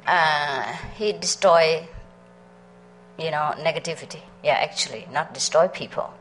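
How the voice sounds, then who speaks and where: Woman, middle-aged, lowish voice, chatty storyteller, faint background hiss. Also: a little slow, a bit bright, rough recording. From Vietnam. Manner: chatty, laughs often, asks the audience questions. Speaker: spiritual teacher. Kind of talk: storytelling talk to a meditation group.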